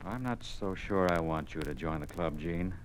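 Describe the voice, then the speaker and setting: A man as a deep-voiced, worried American father. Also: normal speed, lightly acted. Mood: stern. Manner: grave, earnest. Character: worried, principled American father under an oppressive regime. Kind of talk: 1950s radio drama acting. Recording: noisy old 1950s radio recording.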